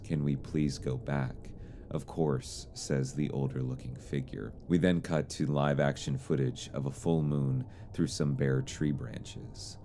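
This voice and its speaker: Male narrator, extremely low and mellow, measured explainer read over noticeable background noise. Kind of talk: scripted analytical narration.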